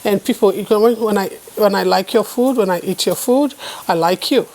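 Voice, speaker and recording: A woman's voice: mid-range voice, fairly quick, a little mellow, rough recording. A woman who works in immigrant support, talking unscripted in an interview. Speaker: immigrant settlement worker.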